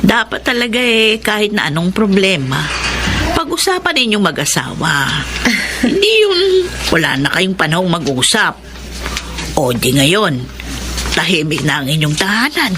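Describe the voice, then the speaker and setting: A woman's voice: on the low side, sing-song, measured pace, music in the background. A woman acting a kindly older mother who gives advice in a Filipino radio drama. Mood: kindly, satisfied.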